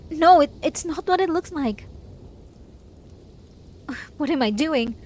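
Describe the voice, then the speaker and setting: A woman's voice: high-pitched, animated, slow pace, a little mellow. A woman playing a lonely, obsessive hermit in an intimate roleplay monologue, pleading desperately with sighs and whispers. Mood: frantic, possessive. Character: lonely, obsessive, lovesick hermit begging a traveler to stay.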